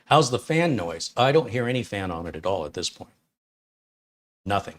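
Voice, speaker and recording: A talkative man in his fifties or sixties with a fairly low voice, from Detroit. He hosts a relaxed live tech stream from a small studio room, rambling between tasks and giving his opinions bluntly. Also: moderate pace. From Detroit, USA. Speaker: tech reviewer host. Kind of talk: casual live-stream chat answering viewer questions.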